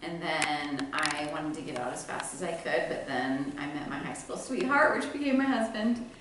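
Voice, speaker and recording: American female guest, notably low voice, informal conversational delivery, strong room echo with slight noise. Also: animated, moderate pace. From Midwestern United States, later Utah. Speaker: interview guest, business co-owner. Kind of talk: casual chat. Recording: very echoey room, faint background noise.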